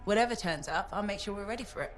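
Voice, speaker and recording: Actress as assured arcane expert, music behind. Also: female voice, mid-range voice, quick pace, natural voice. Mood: resolute. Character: assured, purposeful female arcane expert on a mission. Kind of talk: video game cutscene acting.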